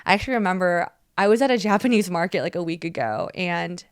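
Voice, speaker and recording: Fast-talking chatty American woman, dry close sound. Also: on the low side, clean tone, no echo. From United States. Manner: chatty, lots of "like", acts out quoted voices. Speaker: podcast co-host. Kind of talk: casual chat.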